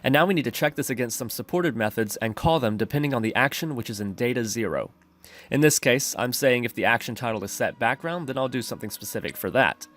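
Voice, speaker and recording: A man's voice: mid-range voice, animated, quick pace, a bit bright. A man narrating a programming tutorial in a methodical, instructional way.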